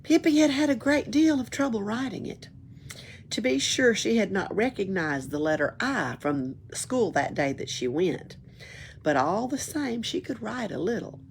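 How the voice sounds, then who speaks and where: A woman reads a children's book aloud in an expressive storytelling way. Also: a little high, moderate pace, slight background noise. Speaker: library storyteller.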